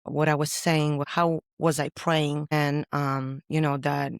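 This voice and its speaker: A woman with a deep voice, a podcast guest sharing her faith story in an earnest, halting way with many pauses. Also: little pitch movement, smooth, dry recording, studio quality. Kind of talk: personal faith testimony in conversation.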